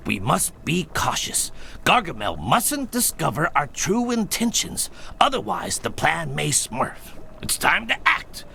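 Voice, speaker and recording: A fatherly old cartoon village chief in a video game. He is high and gravelly, swinging widely in pitch as he gives cautious, authoritative orders. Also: huge pitch swings, a little slow, pushed and bright, a little hiss or hum, exaggerated voice. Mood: cautious, serious.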